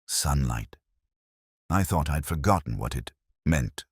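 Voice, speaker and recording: A man's voice: a bass voice, lively intonation, slow, husky, no echo, studio quality, full character voice. A man voicing an injured, weary and composed woman in a mystery story, with a faint, subdued manner. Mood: weary wonder.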